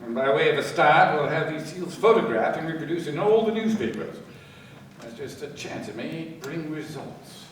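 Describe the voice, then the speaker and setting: A man playing a methodical, cautious police inspector in a radio play, deliberate and given to thoughtful hums and pauses. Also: fairly high pitch, animated, natural voice. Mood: businesslike. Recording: very echoey, distant, with background noise.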